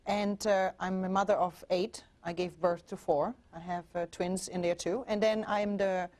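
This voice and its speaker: A woman's voice: lowish voice, steady tone, moderate pace, darkish tone. A woman in her forties from Germany with a German accent, a relationship coach talking earnestly as a guest on a TV show. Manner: earnest, with frequent restarts and fillers. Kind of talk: TV interview.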